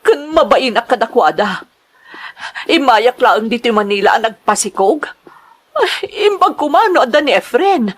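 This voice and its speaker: Woman acting a distressed, husky, high-voiced Ilocano mother. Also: very animated, normal speed. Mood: ashamed, tearful. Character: distressed, ashamed pregnant mother working in Manila. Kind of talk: amateur melodramatic drama acting.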